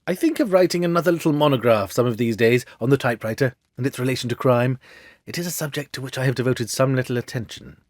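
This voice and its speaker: A man voicing a shrewd, self-assured gentleman detective for a storybook, with a precise, theatrical, lecturing manner. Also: mid-range voice, fast talker, no echo, studio quality, close to an everyday voice.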